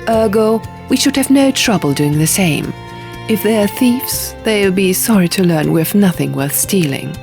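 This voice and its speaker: A woman's voice: mid-range voice, animated, measured pace, creaky, a little mellow, pitched above the performer's own voice. Woman playing a pragmatic, pedantic young woman. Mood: dry, reasoned. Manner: matter-of-fact, pedantic. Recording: music under the reading.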